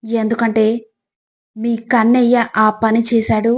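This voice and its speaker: Slow, even-toned woman narrating reflections. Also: fairly high pitch. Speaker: narrator of motivational quotes. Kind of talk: scripted motivational narration.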